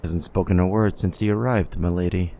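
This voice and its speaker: A man playing a deferential, businesslike trader in human blood donors in an ASMR roleplay, gravelly, with a folksy salesman's patter. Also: low voice, steady tone, fairly quick, mellow. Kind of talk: ASMR audio roleplay acting.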